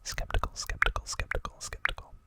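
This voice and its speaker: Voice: quick pace, pushed and bright. An ASMR host whispering an informal, conversational recap, close to the microphone. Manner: casual, informal recap with repeated words for effect. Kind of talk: whispered ASMR storytelling and trivia.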